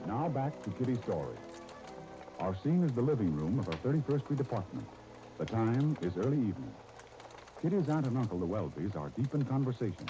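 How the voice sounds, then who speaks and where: A man's voice: medium pitch, very animated, a little fast, over music. A man narrating a 1930s radio serial as its announcer, in a scripted dramatic style, on an old recording. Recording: muffled, noisy 1930s radio disc.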